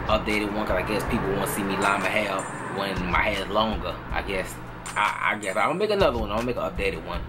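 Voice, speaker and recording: Laid-back New man, low voice. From New Orleans, Louisiana. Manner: laid-back, repeats "you know what I'm saying". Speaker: vlogger answering viewer questions. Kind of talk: casual Q&A chat. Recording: music playing underneath.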